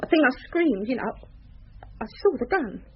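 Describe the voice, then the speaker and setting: An actress playing a distraught, defiant young mistress of a murdered gangster in a radio drama, sullen and blunt. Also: female voice, high-pitched, very animated, moderate pace, slightly rough, heavily acted. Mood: defensive, upset. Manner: sullen, emotional, blunt working-class speech. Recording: muffled radio drama recording.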